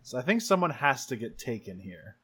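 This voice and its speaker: A man's voice: medium pitch, very animated, a little fast, sharp tone, slightly rough. A man leading a tabletop roleplaying game in casual talk, thinking aloud as he goes. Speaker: player and game leader in an actual play podcast.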